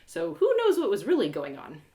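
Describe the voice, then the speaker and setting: A woman reading a first-person story aloud with expressive, playful, dramatic delivery. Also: medium pitch, fairly quick. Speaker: author reading a story live.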